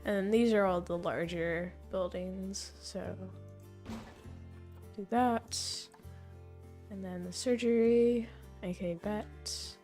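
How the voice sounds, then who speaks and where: Young female streamer, mid-pitched and slightly hoarse, rambling game commentary over soft background music. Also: steady tone, takes it slowly, pauses often. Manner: thinking aloud, rambling and self-correcting. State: voice fading, hoarse. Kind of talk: casual gameplay commentary.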